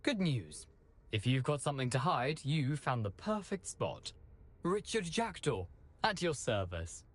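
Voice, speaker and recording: An actor playing the jaunty, theatrical ghost of a beheaded gentleman in a video game, with a British accent and a flowery, wry, genteel delivery. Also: medium pitch, big pitch swings, measured pace. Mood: jaunty, welcoming.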